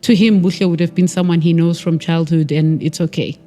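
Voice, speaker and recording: Low-voiced South African woman, reflective. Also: steady tone, dark tone, soft background music. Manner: measured, reflective, with frequent pauses and restarts. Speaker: podcast guest. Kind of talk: personal storytelling interview.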